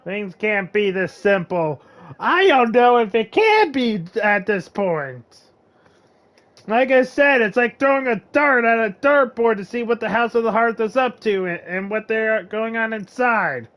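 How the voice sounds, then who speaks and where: A man streams a game in a high voice at an unhurried pace. His commentary is casual, with wry, sarcastic asides. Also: lively intonation, a bit bright.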